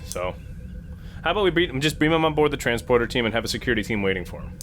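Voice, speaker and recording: Halting man playing a tabletop captain. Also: medium pitch, lively intonation, a bit bright, slight background noise. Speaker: player acting as a starship captain. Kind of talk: casual tabletop roleplay.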